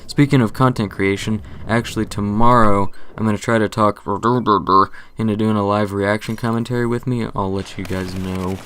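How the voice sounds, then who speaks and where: A young man who hosts a gaming channel, talking through a casual channel update in a monotone voice, rambling, correcting himself and joking along the way. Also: fairly low pitch, normal speed, hardly any pauses, smooth.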